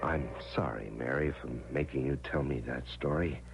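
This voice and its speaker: Deep, gravelly, evasive husband. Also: male voice, music in the background. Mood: evasive, placating. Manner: hesitant, halting, placating. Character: evasive, harried husband placating his wife. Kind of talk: old-time radio drama acting. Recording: noisy vintage radio recording with hum.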